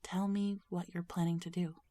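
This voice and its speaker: A woman's voice: lowish voice, level tone, normal speed, warm tone, close to an everyday voice. A woman playing a sceptical, cautious teenage schoolgirl in audio drama dialogue, with a dry, dismissive manner. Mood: sceptical, wary.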